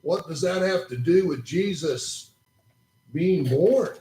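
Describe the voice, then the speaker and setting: A man's voice: fairly high pitch, expressive, slow, warm tone, low-quality recording. Man doing a wide-eyed boy puppet. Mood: curious. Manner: questioning, jokey and full of wonder. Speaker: puppet in a church children's show. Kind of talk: children's puppet show dialogue. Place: church.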